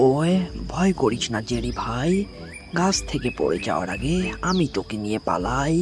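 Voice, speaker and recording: Casting: grumbling big-brother cartoon cat voiced by a man, mid pitch, hapless and exclamatory, music behind. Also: very animated, normal speed, natural voice. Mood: pleading.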